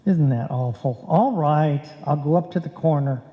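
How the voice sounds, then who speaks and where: An actor playing a wry, deadpan husband who narrates his own domestic comedy in old-time radio style. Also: fairly high pitch, moderate pace, dull audio, lightly acted. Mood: dry, put-upon. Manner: dry, wisecracking. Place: on stage in a live performance. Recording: live hall recording, long reverb.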